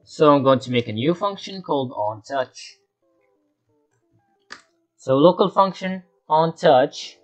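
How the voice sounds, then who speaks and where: A man's voice: fairly high pitch, fairly bright tone. Man talking through a coding tutorial, music behind. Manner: talks through each step while working, repeating himself. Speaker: tutorial presenter. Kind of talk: unscripted screen-share coding tutorial.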